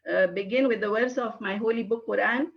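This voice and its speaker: A woman's voice: a little high, steady tone, fairly quick, vocal fry. A woman from Pakistan, English not her first language, giving a hesitant webinar talk full of fillers. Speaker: guest speaker. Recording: dull lo-fi webinar audio.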